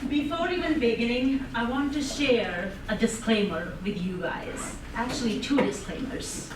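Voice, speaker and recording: A woman's voice: a little high, measured pace. A woman with an Indian accent giving an interactive speech, asking the audience questions and laughing often. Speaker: public speaker. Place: conference room with audience. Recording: echoey room, voice from far off, noisy.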